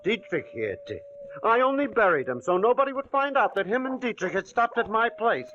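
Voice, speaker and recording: High-pitched jittery rancher. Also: male voice, a little fast, a little hiss or hum. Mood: defensive, gloating. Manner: folksy, nervous, excitable. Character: jittery, greedy rural male rancher. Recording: muffled 1940s radio recording.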